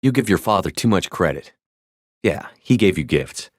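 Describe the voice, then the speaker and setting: A man's voice: deep voice, lively intonation, moderate pace, dry recording, studio quality. A man voicing a tough, commanding space soldier and squad leader in a video game, with terse, firm delivery. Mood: low, sincere. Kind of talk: cinematic game dialogue lines.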